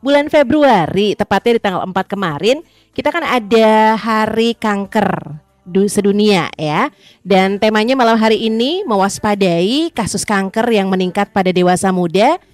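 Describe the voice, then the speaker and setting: A woman's voice: medium pitch, expressive, normal speed, dry recording. A woman hosting a radio talk show in a chatty, upbeat, friendly way. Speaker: radio host.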